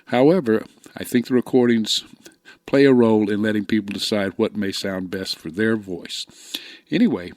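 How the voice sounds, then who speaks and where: A middle-aged or older man giving an informal, plainspoken microphone demo in short, deliberate phrases, speaking close to a dynamic microphone. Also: lowish voice, a little mellow, dry recording. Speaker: amateur radio hobbyist reviewing microphones. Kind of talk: informal explanatory product demo narration. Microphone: close dynamic podcast or studio microphone.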